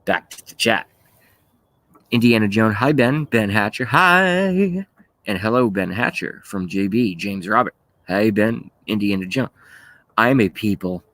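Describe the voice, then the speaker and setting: A man of thirty-five hosting a livestream, talking casually and haltingly as he rants and reads out chat messages, with some swearing. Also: lowish voice, lively intonation, fairly bright tone, dry recording. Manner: rambling, halting, often swearing. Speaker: livestream host. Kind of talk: casual political rant while reading live chat.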